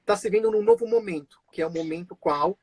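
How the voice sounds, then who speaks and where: Male live-stream host, slightly high, husky voice, dry sound, chatty with restarts. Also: wildly swooping pitch, fairly quick, darkish tone, no room sound. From born in Israel, raised in Brazil. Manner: chatty, many fillers and restarts, laughs often. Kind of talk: casual live-stream conversation.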